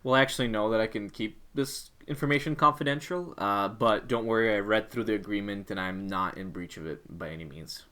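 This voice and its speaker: Resigning male employee man acting, courteous, firm, composed, lowish pitch; workplace training role-play. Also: a little fast, hardly any pauses, bright, forceful tone. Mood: guarded, polite.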